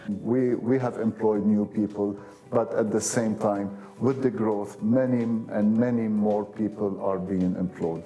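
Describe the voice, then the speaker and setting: Halting low-voiced man, auto manager. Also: fairly even intonation, mellow, highs cut off. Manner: halting, many fillers between words. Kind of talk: interview.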